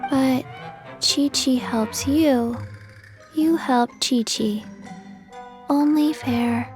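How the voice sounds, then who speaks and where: A small zombie girl from a video game. The high voice moves very slowly in halting, deadpan phrases, literal and empty of fuss. Music plays behind. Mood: blank, flat. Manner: deadpan, halting, speaks of herself in third person. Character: small zombie girl, blank, slow, literal pharmacy helper. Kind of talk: scripted video game dialogue. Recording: game dialogue over background music.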